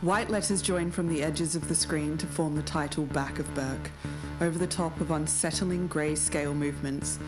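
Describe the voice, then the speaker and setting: A woman's voice: low voice, fairly even intonation, normal speed, over music, rough recording. A woman co-hosting a podcast and delivering scripted narration. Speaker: podcast co-host.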